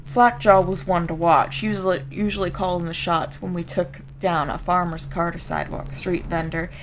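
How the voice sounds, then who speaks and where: A woman's voice: fairly low pitch, fairly even intonation, normal speed, slight background noise, lightly acted. A woman voicing a folksy, streetwise street-gang member telling an old story, with a Southern US accent. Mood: streetwise, matter-of-fact. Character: rough street-gang storyteller, plain-spoken old comrade of a boss. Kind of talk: dramatic first-person story reading.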